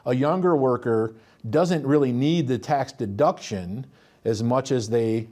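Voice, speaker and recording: A man's voice: medium pitch, unhurried. Man explaining retirement taxes. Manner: steady, explanatory, walks through number examples. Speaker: financial advisor. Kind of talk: explaining retirement tax planning.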